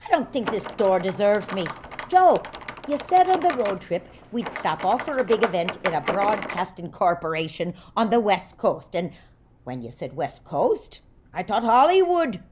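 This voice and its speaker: An actress playing an imperious, complaining ageing Irish singer in an audio comedy. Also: female voice, medium pitch, very animated, normal speed, background noise, close to an everyday voice. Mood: indignant. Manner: haughty, complaining, cursing. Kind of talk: comedy audio drama acting.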